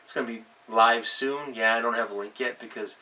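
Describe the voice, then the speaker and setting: A middle-aged man hosting an unscripted advice vlog as a game master, speaking haltingly and leaning hard on stressed words. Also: medium pitch, steady tone, bright, forceful tone. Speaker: host, tabletop game master. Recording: distant mic with faint background noise.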